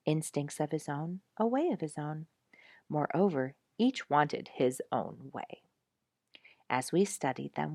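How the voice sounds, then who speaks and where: A woman narrating a nature story from a script in a measured, emphatic storytelling manner. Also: low-pitched, vocal fry, mellow, no room sound, clean studio sound. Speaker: audiobook narrator reading a nature memoir.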